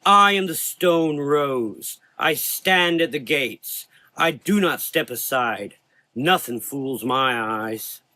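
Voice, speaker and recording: Man voicing a tough, bitter woman gunfighter, pitched up. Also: lively intonation, takes it slowly, dry recording. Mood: solemn. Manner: sharp, sarcastic, profane.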